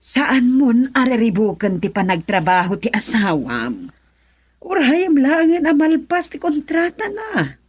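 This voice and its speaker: A woman's voice: sits high, sing-song, unhurried, husky, exaggerated voice. A woman playing a worried, scolding middle-aged mother of a grown son in a radio drama. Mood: reproachful.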